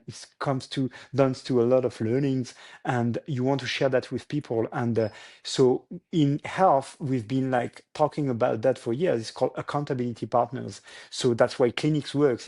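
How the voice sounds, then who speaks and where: A man's voice: medium pitch, darkish tone. A man, a startup founder speaking as a guest in a casual interview and often searching for English words. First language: French.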